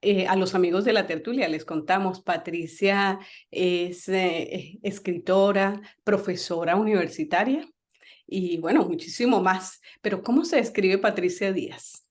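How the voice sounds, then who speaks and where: A woman's voice: mid-range voice, unhurried. A woman hosting a Spanish-language talk show, welcoming listeners and chatting with her guest through questions and fillers. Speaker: host. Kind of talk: radio talk show interview.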